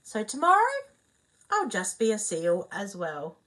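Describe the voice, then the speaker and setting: A woman's voice: medium pitch, wildly swooping pitch, a little slow, fairly bright tone, low-quality recording. Woman reading as a boastful male emu. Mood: cheeky. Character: restless male emu who wants to be other animals.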